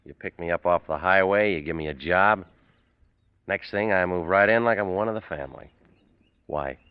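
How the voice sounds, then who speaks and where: A man's voice: low-pitched, normal speed, clear, resonant tone, no echo, natural voice. An actor playing a blunt, laconic young American working man, skeptical and wary, in an old-time radio drama. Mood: suspicious. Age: young adult. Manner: laconic, plain-spoken, skeptical. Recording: dull, muffled vintage radio recording.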